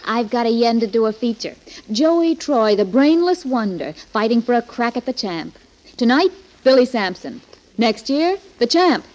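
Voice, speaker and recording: Young woman reporter, 1940s radio play: wisecracking, insistent, higher-pitched, dull vintage sound. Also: very animated, normal speed, darkish tone. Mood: eager, arch. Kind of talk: 1940s radio drama acting.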